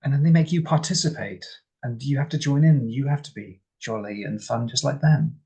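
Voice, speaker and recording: A man playing a reserved, baffled, dry-witted character in a tabletop game, halting and polite with a gravelly, husky voice. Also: medium pitch, dark tone. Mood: uneasy, baffled.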